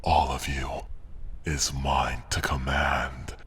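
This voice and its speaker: A man playing a haughty, menacing dragon who taunts condescendingly in a breathy rumble, in a fantasy ASMR roleplay. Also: little pitch movement, a bit bright, exaggerated voice. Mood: gloating, possessive. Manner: slow, deliberate, condescending taunting. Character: menacing, haughty male dragon, mocking and possessive.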